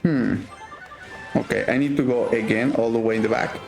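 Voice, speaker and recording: Gravelly Mexican-accented man commentating gameplay. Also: medium pitch, normal speed, darkish tone, reverberant, soft background music. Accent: Mexican Spanish. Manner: casual, thinking aloud, frequent fillers. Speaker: gaming YouTuber.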